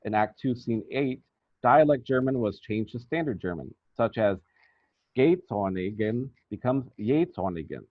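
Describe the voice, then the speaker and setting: A thin, phone-like recording with very little room sound carries a man's voice. He reads a scripted academic paper in a formal, deliberate way, as a researcher presenting findings. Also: mid-range voice, expressive, no echo. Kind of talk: scripted academic lecture narration. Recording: thin, phone-like band-limited audio.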